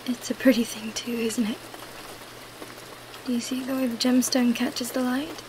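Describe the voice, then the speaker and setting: A woman's voice: a little high, fairly even intonation, normal speed, background noise, natural voice. A woman playing a cunning female vampire who feigns weakness, in an ASMR roleplay. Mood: soothing, wistful.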